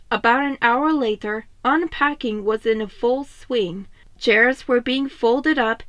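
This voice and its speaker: High-voiced woman reading a story, unhurried. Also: dry recording. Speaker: fan fiction narrator. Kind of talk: expressive scripted story narration.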